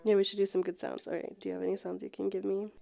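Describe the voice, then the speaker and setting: A woman with a mid-pitched voice talks as a podcast co-host. Her style is casual and conversational. She is emphatic, slangy and quick to swear. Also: level tone, gravelly, very noisy. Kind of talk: casual chat.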